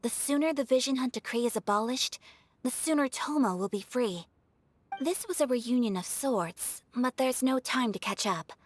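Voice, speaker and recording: An actress playing a calm, thoughtful young noblewoman who speaks in a measured, formal way. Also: on the high side, a little hiss or hum, close to an everyday voice. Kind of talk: game cutscene dialogue.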